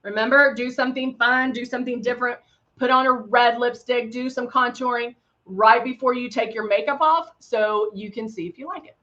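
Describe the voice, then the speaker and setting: A middle-aged woman with a country Texan accent talks viewers through her makeup in a chatty, upbeat way, tossing in playful little sound effects. Her voice sits a bit high. The sound is dull and lo-fi, as on a livestream. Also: fairly even intonation, normal speed, bright, forceful tone. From Texas. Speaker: makeup brand host.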